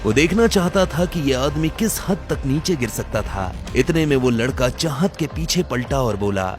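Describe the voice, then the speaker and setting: A man's voice: medium pitch, animated, normal speed, faint music behind. A man narrating a scripted Hindi audio drama as a dramatic storyteller.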